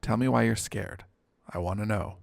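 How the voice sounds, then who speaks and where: Coaxing, worried dad for a storybook read by a man, parental, creaky, dry close recording. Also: on the low side, normal speed, dry recording. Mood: concerned, coaxing. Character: concerned, coaxing father of two. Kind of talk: audiobook character dialogue.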